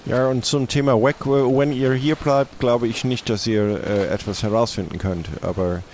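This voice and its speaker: A man playing a flustered, formal stand-in pirate captain in an improvised roleplay, speaking haltingly and wordily. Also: mid-range voice, fairly even intonation, a little mellow, slight background noise, close to an everyday voice. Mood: flustered, instructing. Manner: halting, hesitant, wordy.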